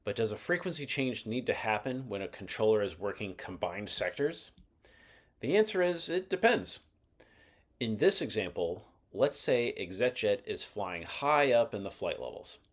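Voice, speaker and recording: Low-voiced man narrating an explainer, slightly husky. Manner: methodical, explanatory. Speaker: host of an aviation explainer channel. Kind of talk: scripted instructional narration.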